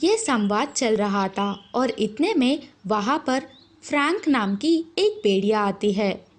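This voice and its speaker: Female storyteller for children's tales: high voice, slow scripted narration. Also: big pitch swings.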